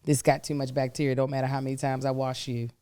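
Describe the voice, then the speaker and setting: Hoarse low-voiced woman chatting. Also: flat delivery, fast talker, warm tone, no echo, studio quality. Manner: candid, chatty, exasperated. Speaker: podcast co-host. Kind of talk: casual chat.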